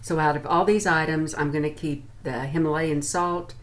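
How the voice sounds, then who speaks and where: A woman's voice: low voice, fairly even intonation, moderate pace. An American woman in her sixties chatting informally to camera as she reviews products. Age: 60s. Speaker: beauty and lifestyle vlogger. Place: small furnished room at home, indoors.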